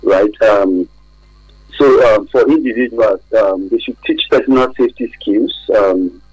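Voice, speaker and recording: A man with a Nigerian accent and a husky voice, giving explanatory advice as a radio interview guest, pausing hesitantly and often checking with "right?". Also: on the low side, darkish tone, no echo, soft background music, low-quality recording. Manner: hesitant, frequent pauses and repeated "right?" tags. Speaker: guest expert from an advocacy organization. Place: radio studio.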